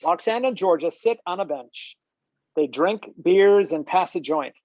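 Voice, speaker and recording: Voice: mid-range voice, animated, a little slow, darkish tone, dry recording. Screenplay narrator, scripted delivery, close dry sound with slightly muffled call quality. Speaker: stage-direction reader. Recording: dull video-call audio.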